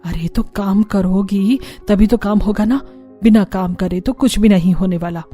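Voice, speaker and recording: A woman's voice: mid-range voice, a little slow, warm tone, soft background music, lightly acted. A woman voicing a stern, bossy older mother-in-law in a cartoon story, scolding and commanding.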